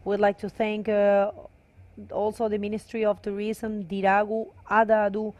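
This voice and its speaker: A woman's voice: medium pitch, completely flat delivery, unhurried, darkish tone, dry recording, highs cut off. A woman from Venezuela with a Venezuelan accent tinged with Canarian, giving bilingual live commentary on a bodyboarding contest and switching between English and Spanish. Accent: Venezuelan Spanish, mixed with Canarian.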